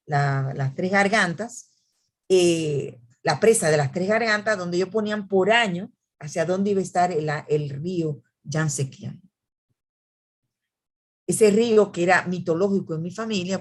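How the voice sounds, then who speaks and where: A woman author presenting a book at an academic event, speaking in a hesitant way with many fillers and restarts. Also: on the low side.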